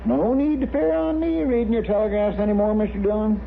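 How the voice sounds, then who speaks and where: A man's voice: sits high, steady tone, creaky. A man with a rural American accent acting a folksy, grumbling, easily wounded frontier deputy in an old-time radio western. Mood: sulky grumbling. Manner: grumbling, folksy, drawn-out protests. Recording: noisy, narrow-band vintage radio recording.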